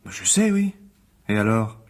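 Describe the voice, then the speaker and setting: An actor playing a spiteful, jealous newspaper critic with an indignant manner in a French radio drama. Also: male voice, on the low side, big pitch swings, normal speed. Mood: scornful. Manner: scornful, indignant.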